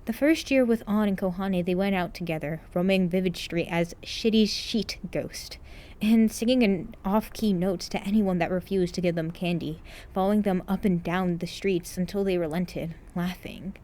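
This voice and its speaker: A woman's voice: fairly low pitch, moderate pace, warm tone. A woman reading a story aloud as scripted narration, like an amateur audiobook. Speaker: narrator of a fan fiction podfic.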